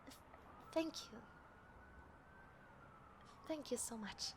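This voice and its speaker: A woman puts on a meek, polite young woman for an audio drama. She sounds soft and fairly high, stammering apologetically as if worried and unsure. Light city noise runs behind her. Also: animated, slow pace. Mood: meek, apologetic. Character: timid, polite young woman feigning worry over lost dog. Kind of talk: scripted audio roleplay. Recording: background noise with city ambience effects.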